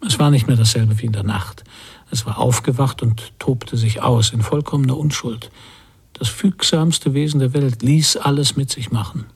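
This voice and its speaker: An actor playing a brooding emigrant father who recalls a stormy sea voyage in a reflective, measured radio play monologue. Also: mid-range voice, husky. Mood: awed reverie.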